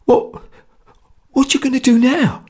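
Shrill, stammering coward. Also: level tone, unhurried, darkish tone, slightly rough. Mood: frightened. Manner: stammering, squeaking in panic. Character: terrified young Cockney conspirator, shrill and shaky.

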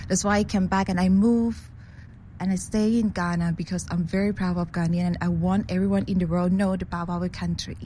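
A woman's voice: fairly low pitch, darkish tone, a little hiss or hum. Earnest woman. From Thailand. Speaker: pageant national director, guest. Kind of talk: radio interview guest.

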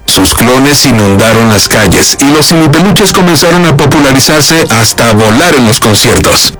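A man's voice: mid-range voice, lively intonation, moderate pace, a bit bright, faint music behind. A man narrating a scripted feature report in a polished, upbeat promotional delivery.

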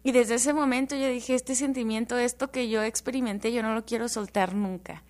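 High-voiced woman from El Paso, animated. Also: fairly quick. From El Paso, Texas. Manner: animated, joking, warm testimony. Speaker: guest.

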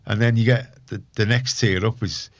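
A man's voice: lowish voice, fairly even intonation, normal speed, no room sound. A man talking casually in an interview about his work, opinionated and emphatic, often repeating himself to make a point. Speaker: community radio organiser, interview guest. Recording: muffled, dull, dry close recording.

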